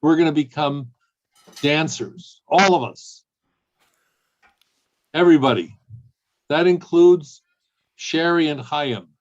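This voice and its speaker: A man's voice: on the high side, slow pace, long pauses. A man leading a service over a video call, playful and hesitant with many ums. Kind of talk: casual service hosting. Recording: dull, lo-fi call audio.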